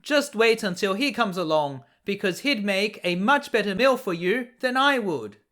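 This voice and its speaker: A man playing a nervous billy goat, middle-sized, raised pitch, polite, anxious, pleading, storybook character. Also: expressive, normal speed, pushed and bright.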